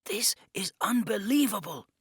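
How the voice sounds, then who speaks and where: An eager, teasing young thief in an audiobook , acted out by a male narrator. The voice is lifted high and swings freely, with a hushed urgency, in a close, dry recording. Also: animated, unhurried, creaky, husky, no room sound, full character voice. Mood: hushed awe. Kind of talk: audiobook character dialogue.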